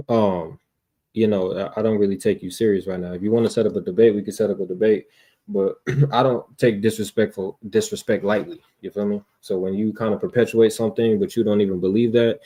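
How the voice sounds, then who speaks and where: An American man debating casually in street slang, confrontational, often repeating words and clearing his throat. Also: fairly low pitch, steady tone, a little fast, dark tone. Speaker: debater on a religious livestream.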